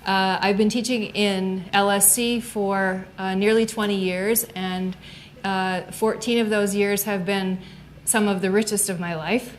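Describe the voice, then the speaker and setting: A woman's voice: medium pitch, fairly even intonation, unhurried, a little hiss or hum. Upbeat woman speaking in a lecture room. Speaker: student club advisor. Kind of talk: seminar talk.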